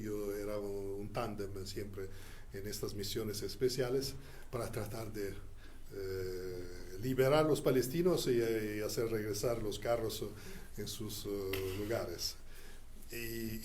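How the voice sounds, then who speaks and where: A man with a fairly low, warm, mellow voice who learned Spanish as a second language tells of his diplomatic postings in an interview. He speaks slowly and deliberately and often pauses to find his words. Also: slight background noise. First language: not Spanish. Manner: deliberate, halting delivery with frequent pauses. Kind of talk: recounting experiences in an interview.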